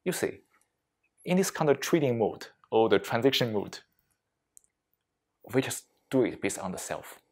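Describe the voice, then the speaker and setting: A man leading a reflective mindfulness talk as a meditation teacher, speaking deliberately in short phrases with frequent pauses and non-native English phrasing. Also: medium pitch, sing-song, darkish tone. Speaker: meditation teacher giving a workplace session.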